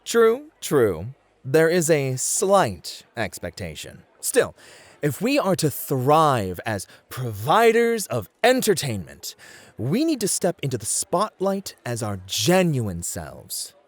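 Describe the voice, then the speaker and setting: A man's voice: a little high, huge pitch swings, slow pace, no echo, heavily acted. A man playing a suave, polite club host in an ASMR roleplay, flirtatious and courtly as he teases and mentors. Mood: smooth, encouraging.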